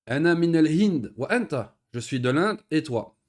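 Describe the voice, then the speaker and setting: A man's voice: a little high, lively intonation. Patient man teaching Arabic in French. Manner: reads Arabic lines, then explains them in French; patient, repeats words slowly with pauses and fillers. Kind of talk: recorded online lesson.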